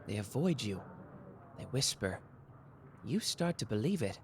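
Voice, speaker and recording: A man voicing a sharp, playful young detective in an ASMR roleplay, teasing and quick-witted. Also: mid-range voice, big pitch swings, slight background noise. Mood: dark. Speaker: voice actor.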